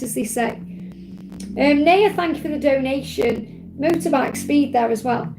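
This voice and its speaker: A woman hosting a live spiritual card reading, her voice croaky from overwork, reassuring and repeating her key phrases. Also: high-pitched, noisy background. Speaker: spiritual card reader, livestream host.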